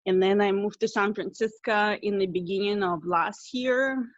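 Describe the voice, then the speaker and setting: A woman's voice: medium pitch, normal speed, dry recording. A woman with an Eastern European accent joins a call from her phone. She is apologetic and laughs easily, and the sound is dry and muffled. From Russia. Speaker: club member. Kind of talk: casual self-introduction. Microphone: phone on a video call. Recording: dull, dry phone audio.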